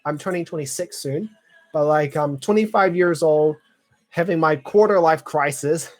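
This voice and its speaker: Young man, mid-twenties, fairly high voice, casual live chat, rambling, full of filler words. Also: normal speed. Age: 20s. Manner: clears throat often. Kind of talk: casual live chat reading viewer comments.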